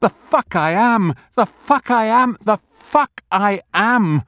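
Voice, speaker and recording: A man's voice: on the high side, sing-song, slow, mellow, husky, dry recording, studio quality, voice pushed up, exaggerated voice. A man voicing a shaken wartime man, raw and desperate, gasping out urgent words. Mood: frantic.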